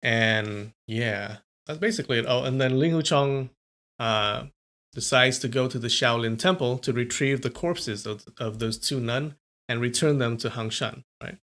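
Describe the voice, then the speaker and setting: Gravelly young man reading aloud. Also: medium pitch, normal speed, a bit bright. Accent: Californian. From California. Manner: chatty, answers chat between passages. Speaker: host reading a novel aloud. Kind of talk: casual livestream reading and chat.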